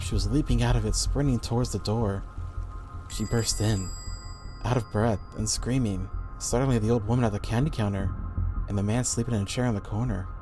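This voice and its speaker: A man's voice: on the low side, darkish tone. A man reading a scripted horror story as the narrator of an audio play. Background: background music.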